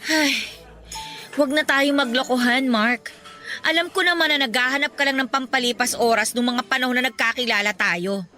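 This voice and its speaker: A jealous young woman in a radio drama, with a high, sharp voice. She is fed up and presses her accusations with sarcasm and emphasis, over faint music. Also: moderate pace. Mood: weary, resolute. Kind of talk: Tagalog radio drama acting.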